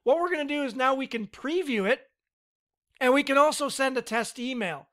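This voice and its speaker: A man giving a relaxed, step-by-step screen-recorded software tutorial with casual fillers. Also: very high-pitched, normal speed. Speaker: tutorial instructor.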